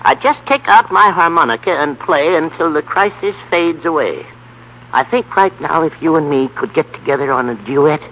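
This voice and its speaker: A man's voice: on the high side, moderate pace, slight background noise, close to an everyday voice. An actor playing a homespun, easygoing old American grandfather in a 1930s radio play. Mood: cheerful, folksy. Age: older. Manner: homespun, plain-spoken. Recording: dull vintage 1930s radio broadcast.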